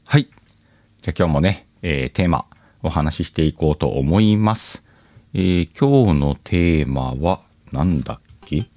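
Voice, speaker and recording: A man's voice: very deep voice, animated, unhurried, warm tone. A man hosting his own audio show, chatting casually and musing aloud to his listeners. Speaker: host of an audio channel. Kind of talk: casual solo radio-style chat. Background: faint music.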